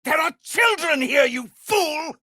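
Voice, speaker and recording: An actor playing a weary, honourable middle-aged male tiefling soldier in a fantasy game, speaking in an earnest, formal, measured way. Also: extremely high pitch, lively intonation, pushed and bright, no echo, studio quality, heavily acted. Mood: furious, alarmed. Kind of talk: dramatic fantasy game dialogue.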